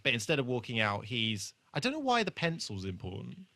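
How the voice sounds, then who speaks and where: A man's voice: mid-range voice, wildly swooping pitch, brisk, bright, forceful tone, dry recording. A man co-hosting a podcast in casual chat, talking in a hesitant, conversational way with trailing thoughts. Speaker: podcast co-host. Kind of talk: casual chat, TV re-watch discussion.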